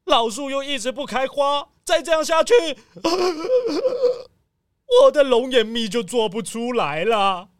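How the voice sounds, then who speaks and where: A woman's voice: high-pitched, very animated, takes it slowly, no room sound, studio quality, heavily acted. A woman playing a wailing guilty village auntie. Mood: tearful. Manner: wailing, stammering, tearful pleading. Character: remorseful village woman Aunt Heigu, arsonist caught out. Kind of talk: children's radio drama.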